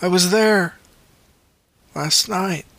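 A man's voice: sits high, extremely slow, creaky, sharp tone, dry recording, voice pushed up, full character voice. A man voicing a badly injured young man who whispers and demands answers in a strained voice. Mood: demanding.

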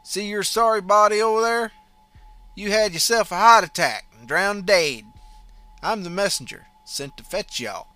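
A man's voice: high voice, moderate pace, bright, forceful tone, no echo, faint music behind, voice pushed up. A man voicing an elderly female ghost messenger from Louisiana, with a Louisiana Creole accent, talking in a drawling dialect with chuckles. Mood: teasing.

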